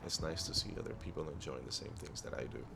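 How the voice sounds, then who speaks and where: A man with a deep voice narrating his own vlog in a laid-back, rambling voiceover. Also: fairly even intonation, fast talker, gravelly, very noisy. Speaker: vlogger. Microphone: clip-on wireless mic.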